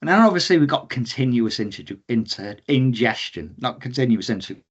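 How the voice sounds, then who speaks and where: A man's voice: mid-range voice, expressive, brisk, husky. A man giving an informal technical presentation on a video call, explaining in a conversational way. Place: indoor room, on a video call. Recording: dull, lo-fi video-call audio.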